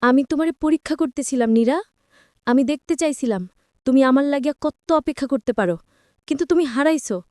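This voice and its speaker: Woman doing a controlling ex-boyfriend in an audio story, bangladeshi accent, high-pitched, dry sound. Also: a little fast, a little mellow, no room sound, lightly acted. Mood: mocking, accusing. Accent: Bangladeshi Bengali. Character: possessive, manipulative young man, a returning former lover.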